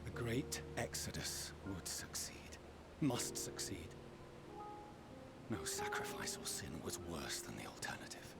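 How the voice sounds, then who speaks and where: Grave, low-voiced father. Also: sing-song, measured pace, whispered, loud music behind the voice, heavily acted. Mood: haunted resolve. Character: grave older father, a dignified leader, earnest. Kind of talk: formal dramatic game dialogue. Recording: clear background noise.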